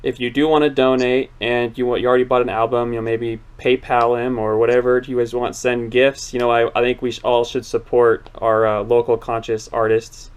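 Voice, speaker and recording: A young man in his twenties hosts a relaxed talk and rambles earnestly, restarting his sentences often. His voice is smooth and clear but stays flat in pitch. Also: fairly quick, hardly any pauses. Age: 20s. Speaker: channel host. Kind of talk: casual interview chat.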